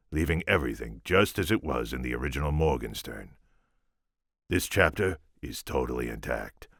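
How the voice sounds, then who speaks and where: Here is a man acting out a gruff older New York writer, talking straight to the reader in an audiobook. His voice is very deep and full of gravel, with a forceful edge. He is wry and conversational. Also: no echo, clean studio sound, heavily acted. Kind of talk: audiobook narration in character.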